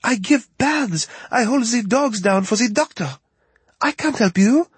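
A man voicing an anxious young French woman, a veterinary assistant, speaking urgent, halting, formal English with a French accent. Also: very high voice, animated, husky, no echo, voice pushed up, full character voice. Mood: alarmed.

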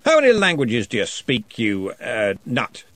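A man voicing a big, jovial old wizard chancellor who talks loudly and heartily, with a faintly threatening cheer. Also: medium pitch, huge pitch swings, moderate pace, dry recording.

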